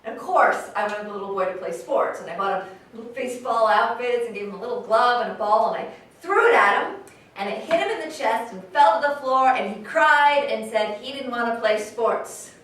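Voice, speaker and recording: Plainspoken, free-spirited mom female actor, slightly high voice, loving stage monologue, very reverberant room. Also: fairly quick, a bit bright, lightly acted. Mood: fond, reminiscing. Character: free-spirited, frank, loving mother from rural Ohio. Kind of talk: staged reading, storytelling monologue. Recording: very echoey hall, distant mic, noisy.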